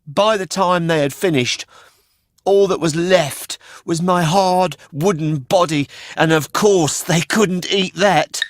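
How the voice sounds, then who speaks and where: A man's voice: high voice, slow, no echo, clean studio sound, voice pushed up. A man voicing a naive, cheeky young wooden puppet boy in a children's storybook, chirpy and carefree. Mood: innocent, explaining.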